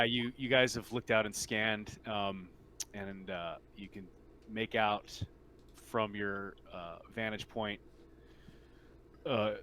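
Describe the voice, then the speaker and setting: A man running a tabletop game as game master, narrating casually in halting, hesitant phrases over online play. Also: fairly low pitch, bright, forceful tone, a little hiss or hum.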